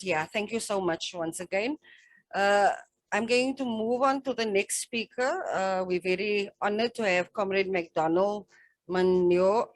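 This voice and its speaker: A woman's voice: medium pitch, no room sound. A woman moderating an activist forum, speaking spontaneously in an appreciative, emphatic, comradely manner as she thanks and introduces speakers. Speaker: session moderator.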